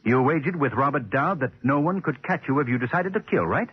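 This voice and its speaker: An actor playing a resolute male sleuth in 1940s radio drama, speaking in a commanding, deliberate, articulate way. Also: medium pitch, lively intonation, lightly acted. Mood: grave, deliberate. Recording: dull 1940s radio recording.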